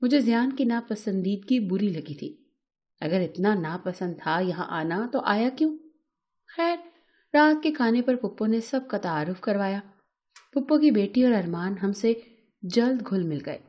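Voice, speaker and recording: A woman narrating a scripted first-person emotional story in a measured, dramatic storytelling manner. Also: mid-range voice, expressive, darkish tone.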